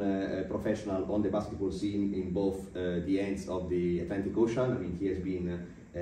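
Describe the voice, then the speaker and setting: A man's voice: low-pitched, mellow. A man hosting a podcast interview, asking questions in formal phrasing broken by many hesitations. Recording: roomy echo, distant mic, faint noise.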